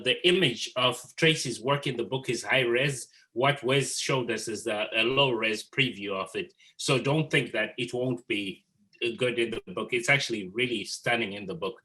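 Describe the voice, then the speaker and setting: Guy moderating an art chat, bright forward voice, earnest and encouraging. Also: medium pitch, moderate pace. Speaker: host of an artist talk. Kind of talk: moderating an informal group art discussion.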